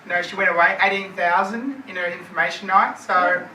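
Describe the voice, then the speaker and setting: A man's voice: high-pitched, fairly even intonation, a little fast, pushed and bright. Man, hesitant joking toast, noisy background. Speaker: industry colleague giving a tribute. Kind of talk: impromptu tribute speech. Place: indoor function venue at a party. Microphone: no microphone, far-off camera. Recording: echoey room from far off, noisy.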